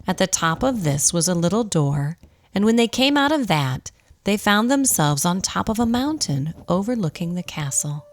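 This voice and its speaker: A woman's voice: fairly low pitch, big pitch swings, normal speed. A woman narrating a radio drama in a scripted storybook style.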